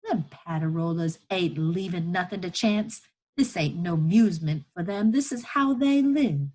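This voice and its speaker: A woman's voice: on the low side, expressive, normal speed, warm tone, breathy edge. A woman voicing a weary, motherly enslaved woman in a storybook, firm and admonishing yet tender, in old-time rural Southern dialect. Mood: stern, resigned.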